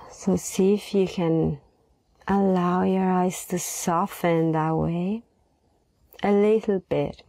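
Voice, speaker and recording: A woman leading a guided meditation in a soft, gentle voice, unhurried and pausing between phrases. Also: lowish voice, fairly even intonation, dry recording.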